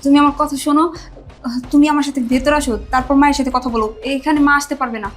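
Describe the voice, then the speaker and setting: Woman as a jittery evasive young wife, high and quick. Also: fairly even intonation, faint music behind, natural voice. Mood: coaxing. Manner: hurried, evasive.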